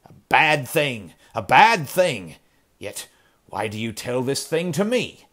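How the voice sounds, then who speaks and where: A cracked, high, panicky voice of a cringing old miser, as a male storyteller puts him on. He blurts each line loudly and in a rush of fear, his voice straining up past its usual pitch. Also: big pitch swings, takes it slowly, full character voice. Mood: fearful. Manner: frantic, cringing outbursts.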